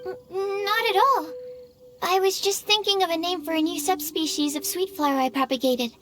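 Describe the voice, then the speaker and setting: Timid, girlish young alchemist, high-voiced. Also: normal speed, a bit bright. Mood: flustered. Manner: hesitant, polite, careful. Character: timid, earnest, bookish young female alchemist. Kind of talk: anime video game voice acting. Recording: music bed behind the voice.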